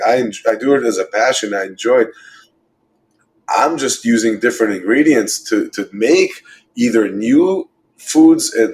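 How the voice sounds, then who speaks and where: A man's voice: fairly low pitch, darkish tone, rough recording. A man in his thirties from Israel and New York, a vegan chef on a casual podcast, talking emphatically and with plenty of swearing. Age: 30s. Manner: emphatic, profane, lists things rapidly.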